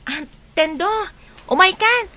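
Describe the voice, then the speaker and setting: High, bright young Filipino woman played by an actress. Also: animated, takes it slowly, heavily acted. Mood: friendly. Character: Marcy, young woman, reassuring teasing friend. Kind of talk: Ilocano-language audio drama acting.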